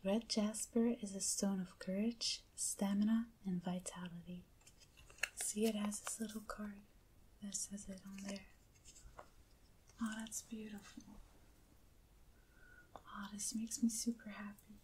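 A woman speaking in a hushed, breathy, gentle whisper, as in an ASMR unboxing video. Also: mid-range voice, flat delivery. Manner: hushed, gentle, unhurried with pauses. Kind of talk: soft-spoken ASMR unboxing. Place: indoors, at a table. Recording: clearly noisy hissy close recording.

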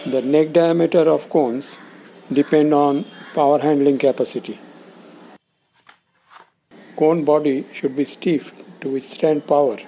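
Guy reading out a how-to script, soft mellow tone, bit high for a man, some noise behind. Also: rough recording. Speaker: instructor presenting components. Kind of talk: scripted instructional narration.

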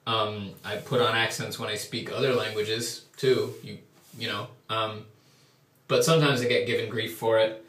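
A man's voice: fairly low pitch, steady tone, normal speed, a bit bright. A young Canadian man talking casually and in a rambling way, then singing a ballad to his ukulele, with a few self-deprecating asides, recorded in a small tiled bathroom by a distant camera. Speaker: folk singer. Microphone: far camera. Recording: echoey tiled room, distant mic. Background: ukulele playing.

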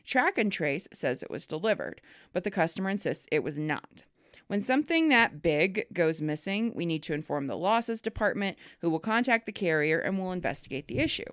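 A woman co-hosting a casual podcast, telling work stories with lively acted-out quotes and frank swearing. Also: fairly low pitch, fairly quick, no echo, studio quality. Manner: animated storyteller, acts out callers and swears freely. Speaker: podcast co-host. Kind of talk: casual storytelling chat.

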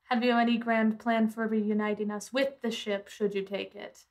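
A woman's voice: a little high, level tone, moderate pace, darkish tone. A woman playing in a tabletop roleplaying podcast, mostly speaking in character. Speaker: player in an actual-play podcast.

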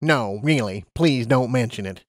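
A weary female personnel director from a pony storybook, played by a man. The delivery is curt and reluctant, with an exasperated edge. Pitch is middling and varied, and the sound is dry. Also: expressive, measured pace, no room sound. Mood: resigned. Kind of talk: acted audiobook character.

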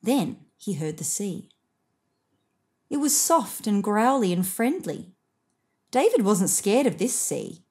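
A woman reads a children's storybook aloud. Her narration is lively and expressive, with a widely moving pitch and deliberate stress on phrases. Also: slightly rough. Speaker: picture book reader.

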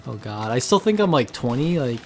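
A man's voice: mid-range voice, sing-song, a little fast, slight background noise. A man in his twenties chatting casually while playing a video game, bossy and sarcastic, swearing freely. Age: 20s. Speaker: gaming host. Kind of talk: casual gameplay chat.

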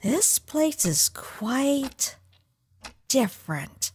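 Woman voicing a slow, hesitant young skeleton girl. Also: high-pitched, sing-song, darkish tone, a little hiss or hum, heavily acted. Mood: tentative. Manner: hesitant, halting. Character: guarded, soft-spoken young female skeleton newcomer, wary. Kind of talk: comic dub acting.

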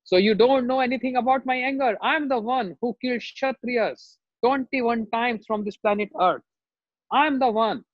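Man acting ferocious warrior sage, high and husky. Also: moderate pace. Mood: indignant, boastful. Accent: Indian. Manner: threatening, shouting demands, repetitive. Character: ferocious, boastful male warrior sage with axe. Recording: roomy, dull lo-fi sound.

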